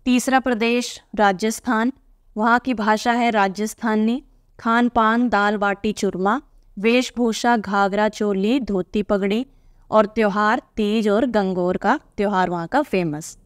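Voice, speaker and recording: A woman's voice: mid-range voice, fairly even intonation, unhurried, no echo, clean studio sound. A woman teacher reading aloud from a textbook and patiently explaining each answer to young pupils.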